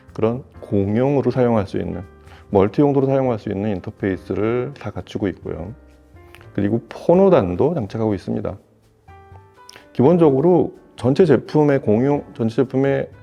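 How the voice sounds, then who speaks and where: A man giving a semi-formal, explanatory product review in a measured way, rephrasing as he goes. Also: mid-range voice, vocal fry, warm tone, highs cut off. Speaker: audio equipment reviewer.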